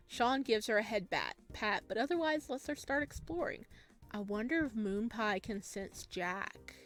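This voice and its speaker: A woman's voice: fairly high pitch, animated, unhurried. A woman playing a visual novel, reading it aloud and reacting in a chatty, informal way as she acts out the lines. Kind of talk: casual let's play, reading dialogue aloud with commentary. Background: faint music.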